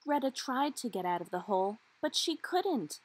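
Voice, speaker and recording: A woman's voice: on the high side, sing-song, normal speed, slightly rough, no echo. A woman reading a children's storybook aloud expressively, with dramatic emphasis on key words. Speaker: storybook reader.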